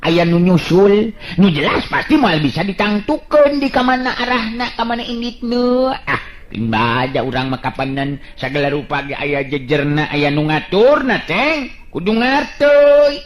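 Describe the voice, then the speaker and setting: A man's voice: high voice, expressive, measured pace. A man with a Sundanese accent telling a folk tale and voicing its hero, chuckling often between lines. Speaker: folk storyteller.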